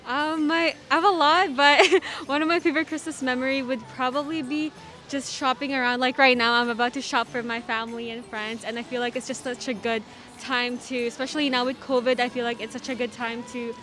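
A woman's voice: sits high, steady tone, fairly quick, sharp tone, faint music behind. A young woman outdoors by Christmas trees, speaking eagerly and upbeat, holding a mic. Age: young adult. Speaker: street interviewee. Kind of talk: casual chat. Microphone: handheld mic.

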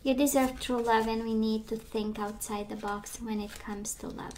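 A woman giving a casual tarot reading, chatty and reassuring, her voice strained as she loses it and clears her throat. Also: on the high side, steady tone, normal speed, darkish tone, slight background noise. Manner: chatty, reassuring, many fillers and restarts. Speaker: tarot reader. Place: indoors at a table of tarot decks.

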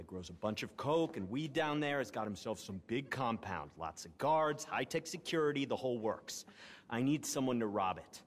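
A cocky young American rich kid from a crime family, played by a male actor, pitching like a salesman in a game cutscene. His pitch jumps around freely and his voice rings in a big reverberant space. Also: close to an everyday voice. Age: young adult. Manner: cocky salesman patter, corporate buzzwords, swearing. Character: brash, entitled young crime heir with big plans. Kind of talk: video game cutscene acting.

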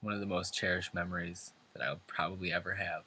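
A man's voice: low-pitched, fairly even intonation, fast talker, sharp tone, slightly rough. A man from New York State reading a prepared eulogy at a funeral, earnest and emotional. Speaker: son giving a eulogy. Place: funeral service before family and friends.

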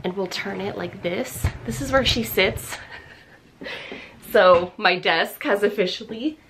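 A woman in her thirties vlogging casually from her home office, slightly hoarse after losing her voice, chatty and upbeat with lots of fillers. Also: mid-range voice, takes it slowly, noisy background. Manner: chatty, upbeat, many fillers and little laughs. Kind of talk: casual vlog chat. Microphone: camera on the desk facing her.

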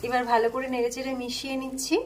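A woman with a Bengali accent and a high voice plainly explains each step of a home recipe. She speaks calmly while she cooks at the stove. Faint frying and stirring sounds are heard behind her. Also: rapid-fire, a little hiss or hum. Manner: calm, plain instructional tone, listing ingredients. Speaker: cooking channel host.

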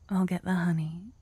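ASMR roleplay: woman as a young possessive girlfriend, doting. Warm, husky whisper, unhurried. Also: lowish voice, dry recording, exaggerated voice. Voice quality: soft breathy whisper. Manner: slow, intimate and doting.

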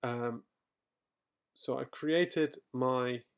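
Middle-aged man, gravelly voice, screencast tutorial, thinking aloud, typing and clicks behind. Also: medium pitch, very slow. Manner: thinking aloud, with fillers and self-corrections. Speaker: software trainer. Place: quiet home room.

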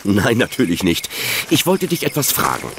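An actor playing a kindly, gently teasing father of a teenager in a German children's audio drama. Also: on the low side, big pitch swings, normal speed, noisy background. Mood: friendly.

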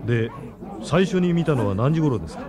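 An actor playing a businesslike, probing investigator in a radio drama. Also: male voice, mid-range voice, sing-song, a little fast, crowd noise, natural voice. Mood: inquisitive.

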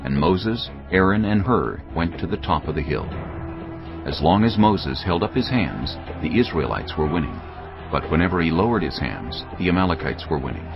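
A man narrating scripture in a measured, expressive reading. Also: sits low, highs cut off, over music.

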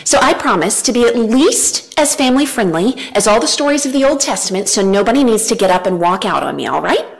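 A woman's voice: fairly high pitch, brisk, creaky, hardly any pauses, low-quality recording. A woman in her thirties giving an earnest church talk from a lectern, gesturing as she speaks, on a headset mic. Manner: earnest, animated gestures, varied emphasis.

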